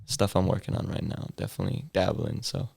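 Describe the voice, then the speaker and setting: A young man, a hip hop artist, talking casually in an interview with a deep, bassy voice that he feels comes out mumbled, in laid-back slang full of fillers. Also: steady tone, quick pace, gravelly, a little mellow, slightly rough.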